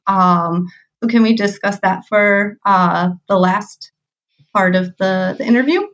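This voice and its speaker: A woman's voice: medium pitch, measured pace, a bit bright, distant mic. A woman podcast host with a nasal Midwestern American accent, talking hesitantly with many fillers. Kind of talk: conversational interview. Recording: dull lo-fi sound.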